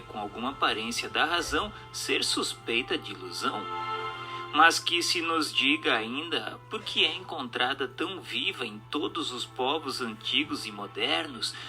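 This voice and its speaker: Guy reading out a book all dramatic and rhetorical, really sharp bright voice, music behind him. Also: mid-range voice. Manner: rhetorical, emphatic, formal oratory. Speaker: narrator reading a book aloud. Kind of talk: scripted dramatic narration of a spiritual text.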